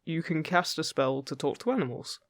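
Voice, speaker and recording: A man playing a friendly, upbeat fairy guide in an intimate fantasy roleplay, chatty, gentle and encouraging with the listener. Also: on the high side, expressive, moderate pace, no room sound, clean studio sound. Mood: playful, instructive. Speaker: voice actor in an audio roleplay.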